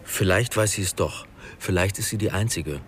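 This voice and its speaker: An actor playing a gentle, enigmatic, musing fellow passenger in a radio drama. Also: male voice, low-pitched, normal speed, a little hiss or hum. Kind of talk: naturalistic German radio drama acting.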